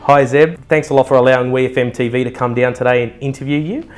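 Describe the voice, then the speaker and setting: A man's voice: mid-range voice, steady tone, fast talker, soft background music. A man hosting a friendly interview, speaking in a polite, chatty way. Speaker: interviewer and host.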